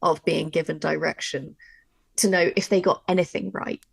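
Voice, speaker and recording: A woman's voice: deep voice, steady tone, moderate pace, gravelly. A woman teaching a workshop in a conversational, informal way, speaking emphatically and insistently. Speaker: audio drama writer and workshop presenter.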